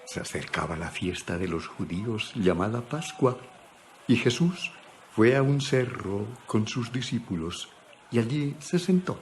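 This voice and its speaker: A man reading scripted Bible narration with solemn, deliberate phrasing. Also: lowish voice, lively intonation, noisy background. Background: background music.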